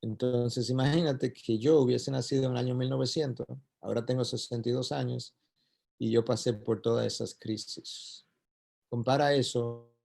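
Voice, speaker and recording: A man's voice: medium pitch, creaky, mellow. A man with a Puerto Rican Spanish accent giving an emphatic church talk, building his points through repetition. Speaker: speaker at a church event. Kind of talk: sermon-style talk to doctors.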